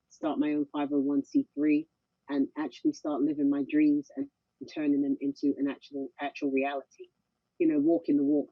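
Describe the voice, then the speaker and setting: A woman's voice: sits low, slightly rough, no room sound, dull audio. A woman calling into a group call by phone, giving an upbeat testimonial full of motivational sayings. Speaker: club member giving testimony.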